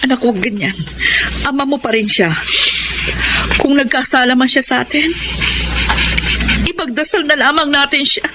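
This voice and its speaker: Woman acting a high-voiced, anguished grieving mother. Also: heavy background noise, lightly acted. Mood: gentle, sad. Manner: tearful, emotive, drawn-out delivery. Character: long-suffering forgiving mother, gravely injured and grieving. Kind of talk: Filipino radio drama acting.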